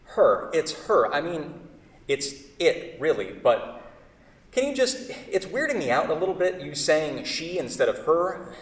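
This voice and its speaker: A man acting a flustered, awkward seller in a staged play reading, halting and stammering through his sentences. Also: fairly high pitch, big pitch swings. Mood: exasperated. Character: flustered, awkward man selling a beloved table. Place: theatre stage. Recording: echoey theatre hall, distant mic.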